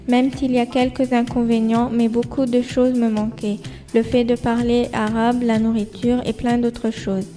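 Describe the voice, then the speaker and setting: A woman from Egypt speaks as a student guest on school radio, reading a prepared account carefully. Her voice sits a little high yet sounds mellow and dark, with a flat, even melody. Faint music plays behind her.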